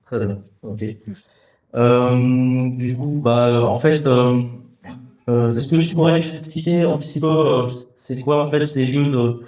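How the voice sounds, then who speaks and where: A man interviewing a filmmaker at a festival, asking questions hesitantly with many filler pauses. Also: mid-range voice, dark tone. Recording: echoey room with faint music.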